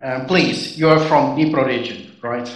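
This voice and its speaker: A man giving formal, measured answers to audience questions at a university event, pausing between phrases. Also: mid-range voice. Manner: measured, deliberate, with short pauses between phrases. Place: university auditorium. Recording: roomy hall, voice from a distance.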